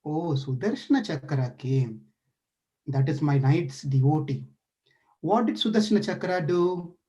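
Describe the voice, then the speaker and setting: A man's voice: fairly high pitch, lively intonation, a little slow, mellow, muffled recording. A man voicing a playful, caring male deity who eagerly questions a frightened sage in a devotional story. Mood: curious, eager. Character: playful caring divine lord, male, curious.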